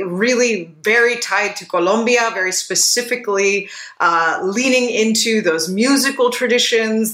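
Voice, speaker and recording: A woman's voice: a little high, a bit bright. Thoughtful woman in an echoey room. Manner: thoughtful, listing, laughs easily. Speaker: screenwriter, interview guest. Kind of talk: interview answer. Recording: roomy, echoey.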